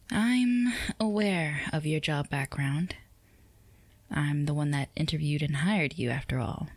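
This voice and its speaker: Woman playing female boss, low pitch, flirty, composed, formal, unhurried teasing delivery, ASMR roleplay, dry sound. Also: no echo. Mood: amused, praising. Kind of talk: scripted ASMR roleplay addressed to the listener.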